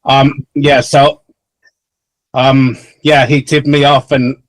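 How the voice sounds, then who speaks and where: Easygoing co-host. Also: male voice, mid-range voice, level tone, takes it slowly, rough recording. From Finland. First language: Swedish. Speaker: podcast co-host. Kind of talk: casual chat.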